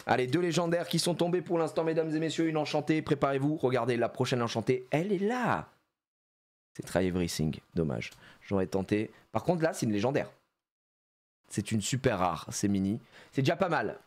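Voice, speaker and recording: A man chatting casually on a live stream as he opens trading card packs, joking around and laughing often. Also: mid-range voice. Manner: animated and jokey, laughs often, plays on words.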